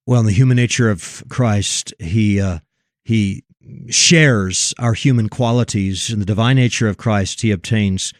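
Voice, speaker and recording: A man's voice: on the low side, a little slow, dry recording, clean studio sound. Emphatic man giving preacherly commentary. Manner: emphatic, preacherly, stressing key words. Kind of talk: opinionated religious commentary in conversation.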